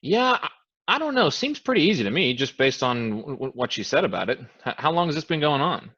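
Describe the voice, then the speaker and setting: A man's voice: a little high, very animated, brisk, bright, forceful tone, breathy edge, lo-fi sound, exaggerated voice. A man acting an eager, casual newcomer in a staged play reading, persuasive and often stammering. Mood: hesitant, curious. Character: eager, casual, wheedling newcomer with expensive booze.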